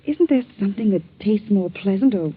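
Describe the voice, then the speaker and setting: An actress playing an insistent, scheming younger lady in a 1940s radio drama, very husky, on a tinny old recording. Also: medium pitch, lively intonation, a little fast.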